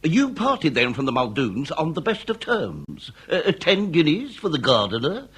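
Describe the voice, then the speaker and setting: An actor playing a wily, ponderous police inspector in a period comedy radio drama, with ornate and halting questions. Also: male voice, on the high side, wildly swooping pitch, fairly bright tone, slightly rough, heavily acted. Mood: probing.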